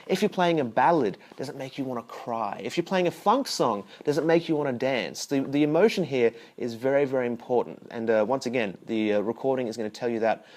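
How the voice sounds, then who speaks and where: A young man teaching bass, talking straight to the viewer in a chatty, explaining way and asking questions as he goes. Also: a little fast, hardly any pauses, a little mellow. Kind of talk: direct-to-camera instructional talk.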